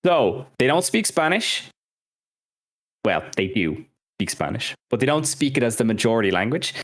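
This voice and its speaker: Male podcast co-host, animated pitch, casual explainer delivery. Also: moderate pace. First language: Irish and English speaker. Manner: animated, jokes about own mispronunciations. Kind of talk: casual scripted music-history chat.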